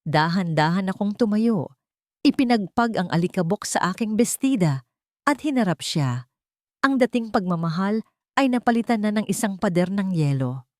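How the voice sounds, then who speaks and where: A woman with a Filipino accent narrating a dramatic first-person story in measured, solemn phrases. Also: mid-range voice, animated, no echo, clean studio sound. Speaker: audiobook narrator.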